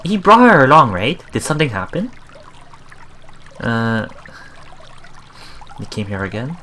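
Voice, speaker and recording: A man hosting a let's play, reading game text aloud and adding halting, musing casual commentary, with water sounds behind him. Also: mid-range voice, sing-song, sharp tone, a little hiss or hum.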